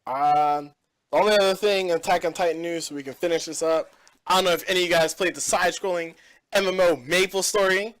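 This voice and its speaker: A man's voice: sits high, steady tone, a little fast, a bit bright. A young man hosting a casual gaming podcast, rambling and opinionated with lots of fillers. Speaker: podcast host. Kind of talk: casual chat.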